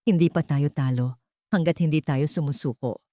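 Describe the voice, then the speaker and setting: A woman's voice: low voice, normal speed, dark tone, no echo, studio quality, natural voice. A woman voicing a loyal, caring and resolute heroine in an audio storybook drama, earnest and pleading.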